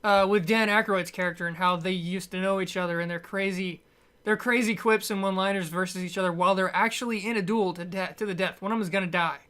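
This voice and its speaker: A woman's voice: medium pitch, steady tone, quick pace, fairly bright tone. A woman giving a casual, rambling film review in a blunt, opinionated way. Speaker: movie reviewer.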